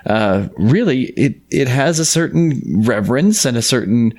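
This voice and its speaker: A man co-hosting a podcast, discussing and narrating folk tales in an earnest, unhurried way. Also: mid-range voice, expressive, a little mellow. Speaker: podcast co-host and storyteller.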